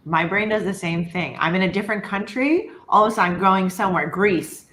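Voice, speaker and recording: A woman's voice: medium pitch, a little fast, a bit bright. A woman casually leading a group conversation as host, putting questions to the others and adding her own thoughts. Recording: echoey room.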